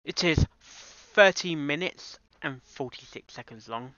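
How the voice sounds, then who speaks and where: Male reaction host: casual, halting delivery, fry in the voice, very dry but muffled lo-fi sound. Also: a little high, lively intonation, sharp tone, dry recording.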